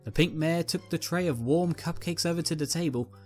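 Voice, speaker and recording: A woman's voice: low voice, a little fast, over loud music. A woman narrating a scripted fan fiction story as its reader.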